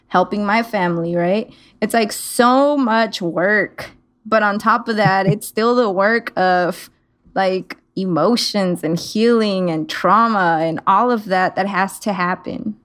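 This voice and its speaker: Reflective young woman on a podcast. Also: medium pitch. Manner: reflective, with many "like" fillers. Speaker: podcast guest. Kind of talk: casual interview chat. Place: home room, video call. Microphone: headset.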